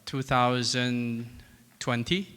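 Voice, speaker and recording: A man from China, presenting research at a conference in a halting way with many fillers. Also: medium pitch, fairly even intonation, a bit bright, smooth. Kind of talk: academic presentation. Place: large conference hall. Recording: long conference hall reverb.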